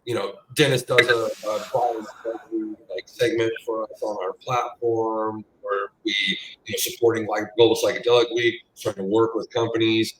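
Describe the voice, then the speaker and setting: A man's voice: on the low side, little pitch movement, moderate pace, hardly any pauses, a bit bright, slight background noise. A man hosting a podcast interview in a laid-back, agreeable way with plenty of fillers. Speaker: podcast host. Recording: echoey room, distant from mic.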